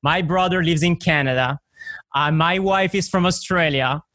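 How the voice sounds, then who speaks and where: A man with a Belgian accent answers podcast questions in a relaxed, conversational way, pausing often on fillers. His voice is a bit high for a man and sounds dry, with no room echo. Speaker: guest, software company owner. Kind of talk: casual interview chat.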